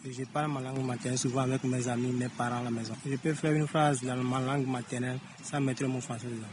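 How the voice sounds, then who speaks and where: A man answering a street interview calmly and steadily. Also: medium pitch, fast. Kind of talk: vox pop answer. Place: street outdoors. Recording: noisy outdoor street recording.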